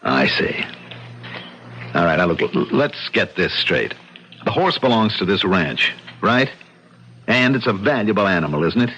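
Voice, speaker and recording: Animated, stubborn skeptical husband. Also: male voice, on the low side, unhurried, long pauses, full character voice. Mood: indignant. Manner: animated, argumentative. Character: skeptical, stubborn new ranch owner, a chatty husband. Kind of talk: radio drama acting. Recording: noisy, dull old radio recording.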